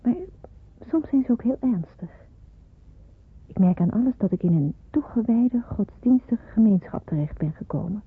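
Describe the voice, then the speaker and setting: Muffled old radio drama, young woman in hiding, earnest, kinda husky higher voice. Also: animated, normal speed, no echo. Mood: reflective. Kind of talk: Dutch radio play acting with diary-like narration.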